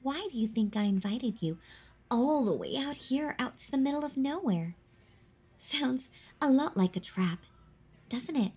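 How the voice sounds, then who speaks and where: A woman playing a possessive, teasing vampire in a roleplay audio drama, with a mocking, condescending manner and a breathy, intimate delivery. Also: sits high, animated, darkish tone, heavily acted. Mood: sly, menacing. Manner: mocking, condescending, slow and deliberate. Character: possessive, teasing female vampire toying with her prey.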